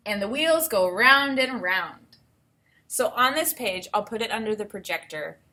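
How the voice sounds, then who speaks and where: A woman teacher reading aloud to children and thinking out loud, explaining things step by step in an instructive way. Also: medium pitch, expressive, a little slow, slight background noise. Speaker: elementary school teacher.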